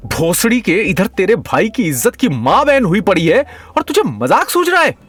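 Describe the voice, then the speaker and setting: A man playing a hot-headed, foul-mouthed, panicky young man in an audio comedy drama, loud and exasperated. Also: sits high, very animated, normal speed, breathy edge, faint music behind, full character voice. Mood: exasperated, angry.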